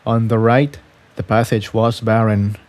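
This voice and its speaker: Guy reading fanfic out loud, slow, a bit halting, trips on words sometimes. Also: fairly low pitch, flat delivery. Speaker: fan fiction reader. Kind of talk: scripted story narration.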